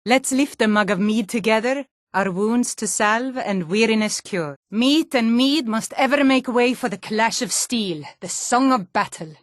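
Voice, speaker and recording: A woman's voice: a little high, unhurried, few pauses, no echo, studio quality, lightly acted. A woman playing a proud, hearty Nord warrior in a fantasy game, declaiming solemnly in lofty, archaic, bardic phrases.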